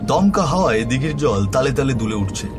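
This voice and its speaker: A man narrating a scripted horror story in an expressive, dramatic way. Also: medium pitch, fast talker. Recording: music bed behind voice.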